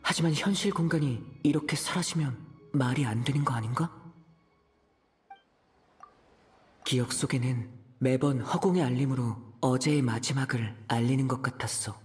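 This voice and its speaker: Grave young adventurer over music. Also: male voice, medium pitch, brisk, slightly rough. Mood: pensive. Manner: grave, reflective monologue. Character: young male traveler, earnest, pensive adventurer. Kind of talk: Korean game voice acting. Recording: music behind the voice.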